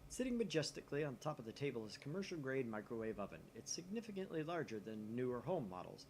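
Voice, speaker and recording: A man with a lively, swinging delivery reads game text aloud in a theatrical way, slipping into casual asides. His pitch sits in an ordinary male range. There is light noise behind him on a modest room mic. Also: fast. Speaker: let's play host reading a visual novel aloud. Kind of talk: animated script reading with casual asides. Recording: noisy room mic, slightly off to the side.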